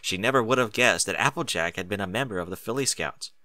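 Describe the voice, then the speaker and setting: A man's voice: lowish voice, lively intonation, fast talker, sharp tone, no room sound. A man reading scripted fiction aloud as an audiobook narrator.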